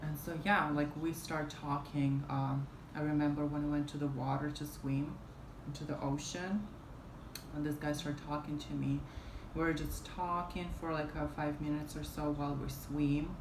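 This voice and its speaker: Low, flat-voiced woman rambling in roomy room. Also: moderate pace. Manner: rambling, many fillers and restarts. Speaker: vlogger. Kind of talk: casual storytime vlog. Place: small room at home, indoors, fan running. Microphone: camera in front at arm's length. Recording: roomy with fan noise behind. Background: fan hum.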